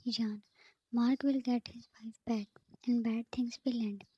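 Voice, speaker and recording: A woman voicing a heartbroken young woman who pleads but stays resolute, all in a soft whisper. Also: on the high side, steady tone, a little slow, slightly rough, dull audio. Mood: anguished.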